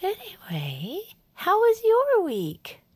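An actress playing a sentimental, gushing true crime podcast co-host, earnest and effusive, in scripted audio fiction styled as chat. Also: female voice, very high voice, huge pitch swings, slow, no room sound, heavily acted. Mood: upbeat.

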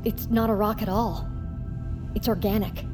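An actress playing a composed scientist in an audio drama, explaining things in a matter-of-fact way. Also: female voice, mid-range voice, steady tone, moderate pace, music in the background, lightly acted. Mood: composed, certain. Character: composed woman scientist on an expedition crew.

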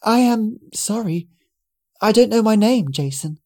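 A man voicing a confused young woman who speaks softly and hesitantly while reading a story aloud. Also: high-pitched, moderate pace, voice pushed up. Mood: nervous.

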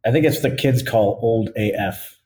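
A middle-aged man co-hosting a podcast, excitable and laughing, with casual words like "freaking" and "dude". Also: mid-range voice, normal speed, very noisy. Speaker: podcast co-host and business owner. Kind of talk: casual chat.

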